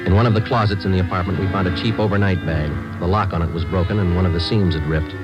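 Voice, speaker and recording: Low, deadpan radio police detective played by a man. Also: fast talker, lightly acted. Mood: businesslike, terse. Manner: clipped, deadpan, matter-of-fact. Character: terse, dedicated male Los Angeles police detective sergeant. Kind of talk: 1940s radio drama acting and narration. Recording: dull old radio recording with music.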